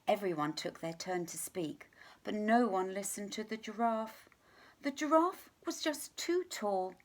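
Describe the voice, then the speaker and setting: A woman with a mid-pitched voice reads a storybook for children. Her delivery is expressive and deliberate, shaped as storytelling. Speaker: teacher reading a picture book to children. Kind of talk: children's story read-aloud.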